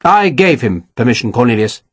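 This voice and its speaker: A man's voice: medium pitch, very animated, a little fast, no echo. A man voicing a genteel, evasive army colonel with a breezy, placating manner.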